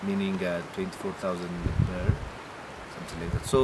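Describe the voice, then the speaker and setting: A man, a program director and facilitator welcoming a group in a measured way with pauses. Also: sits low, monotone, noisy background. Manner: measured, pausing with fillers.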